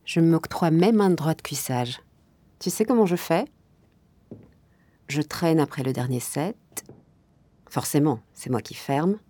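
An actress playing a brash, defiant jazz club owner in a naturalistic drama, talking colloquially with a sardonic edge. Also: female voice, low voice, expressive, unhurried, long pauses, dark tone. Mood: musing, wry. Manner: colloquial, sardonic, musing aloud. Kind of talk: naturalistic drama monologue and dialogue.

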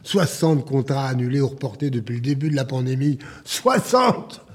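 An actor playing a bitter, despairing man of the jazz club world in a drama, husky, sarcastic and theatrical. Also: mid-range voice, fairly even intonation, warm tone, natural voice. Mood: angry, despairing. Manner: sarcastic, theatrical, halting bursts. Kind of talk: naturalistic drama dialogue.

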